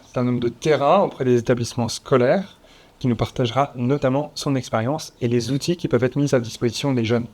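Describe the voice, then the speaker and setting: A man opens a round table in front of a seated audience. His delivery is measured and slightly formal, with a voice of ordinary pitch and the odd filler as he introduces each speaker. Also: a little mellow. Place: conference room with audience.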